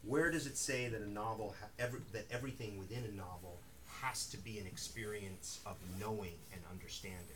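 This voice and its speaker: Low-voiced man talking from far off. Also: brisk, few pauses. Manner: thoughtful, rambling, many restarts and you-knows. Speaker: author speaking at a bookstore event. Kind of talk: live talk with audience Q&A. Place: bookstore reading room. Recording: distant mic in a noisy room.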